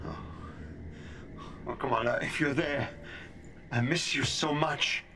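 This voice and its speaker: Game casting: worried, gentle father, medium voice, halting and affectionate, noisy playback. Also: medium pitch. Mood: tender, apologetic. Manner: tender, hesitant. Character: gentle, loving, worried middle-aged father. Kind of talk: dramatic game acting, phone message.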